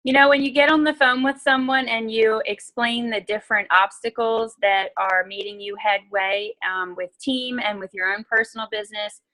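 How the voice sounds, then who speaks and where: A woman's voice: a little high, fairly even intonation, normal speed, few pauses, bright, forceful tone, rough recording. A woman co-hosting an online meeting as a team leader, explaining things in a casual, conversational way. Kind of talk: casual explanatory talk on a video call. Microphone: video call.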